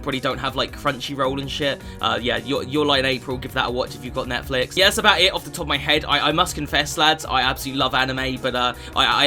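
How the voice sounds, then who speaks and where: A man's voice: mid-range voice, bright, forceful tone. A twenty-one-year-old man, casually answering fan questions for his gaming channel, chatty and sweary, with many restarts. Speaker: gaming YouTuber.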